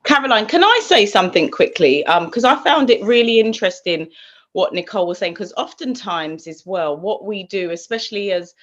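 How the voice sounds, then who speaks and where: A woman on a panel thinks aloud as she talks, softening her points with "kind of" and "you know". Her voice comes through a video call, a bit dull and muffled. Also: mid-range voice, animated, brisk. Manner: thoughtful, with "kind of" hedging. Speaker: panel participant. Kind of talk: panel discussion.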